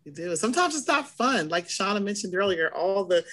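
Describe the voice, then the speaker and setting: A middle-aged woman with a hoarse voice co-hosts a relaxed podcast chat. Her pitch sits in the middle range, and she gets animated as she replays her own earlier reactions. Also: a little fast, slight background noise. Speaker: podcast co-host. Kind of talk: casual chat.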